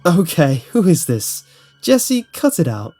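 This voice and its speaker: Guy doing a young woman, skeptical and casual, slightly high voice, horror story, music behind. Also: wildly swooping pitch, slow, mellow, heavily acted. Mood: amused, skeptical. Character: wary young woman, amused but dismissive.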